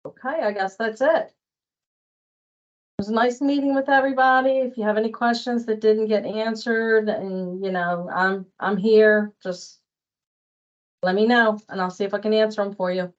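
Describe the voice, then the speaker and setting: A woman's voice: medium pitch, steady tone, moderate pace. Woman leading a meeting, muffled call audio. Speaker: meeting leader. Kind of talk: informal work meeting talk. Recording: dull online meeting audio.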